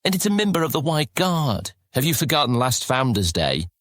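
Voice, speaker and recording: A man's voice: mid-range voice, big pitch swings, fairly quick, fairly bright tone, dry recording, studio quality. A man playing a mischievous young street rat in a fantasy audio drama, teasing and persuading as he talks. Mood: needling, coaxing.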